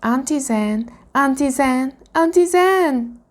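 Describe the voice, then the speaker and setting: A woman's voice: sits high, lively intonation, measured pace, gravelly, darkish tone, pitched above the performer's own voice, full character voice. A woman voicing a small, timid young male dragon in a storybook, anxious and pleading. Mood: panicked pleading.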